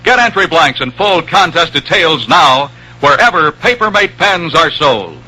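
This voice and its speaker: A man's voice: fairly high pitch, sing-song, unhurried, pushed and bright, breathy edge, rough recording. A man reading a scripted 1960s radio commercial with a punchy salesman's delivery. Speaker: commercial announcer. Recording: vintage 1960s radio recording.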